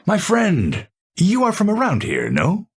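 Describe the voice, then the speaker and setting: A man voicing a gentle, absent-minded old scientist in a video game, courteous and formal, with halting speech. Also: high-pitched, huge pitch swings, creaky, husky, full character voice. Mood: musing. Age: older. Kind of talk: video game character voice lines.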